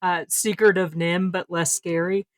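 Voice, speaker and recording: A woman's voice: fairly low pitch, flat delivery, measured pace, no echo. A woman co-hosting a podcast in casual panel chat, speaking informally and with emphasis. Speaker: podcast co-host.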